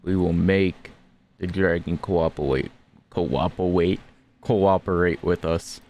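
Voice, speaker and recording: A man's voice: sits low, fairly even intonation, slow, darkish tone, a little hiss or hum. A man giving casual gameplay commentary as a streamer, in short offhand reactions.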